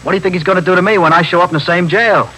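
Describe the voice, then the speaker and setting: A whining, defensive American hoodlum talks fast in a sharp, fairly high voice. He is slangy and evasive, as in 1950s radio drama, with faint noise on the old recording. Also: rough recording. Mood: pleading. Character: whining small-time crook, defensive, self-pitying.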